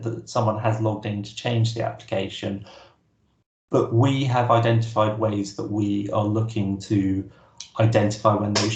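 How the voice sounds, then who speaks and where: A man's voice: on the low side, a little mellow. A man presenting an online workshop, answering questions casually with frequent restarts. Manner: casual, hesitant, many restarts. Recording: roomy echo.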